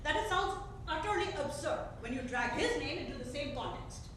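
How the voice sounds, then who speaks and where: A woman in a staged reading, playing an unwell, grieving middle-aged mother who is quietly defiant and bitter. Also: sits high, animated, brisk. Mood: reproachful. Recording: echoey theater from far off, faint noise.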